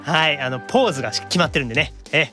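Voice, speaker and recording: A man's voice: on the high side, rapid-fire, pushed and bright, soft background music. A man hosting a show chattily, mixing polite formal phrasing with playful retorts. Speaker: show host.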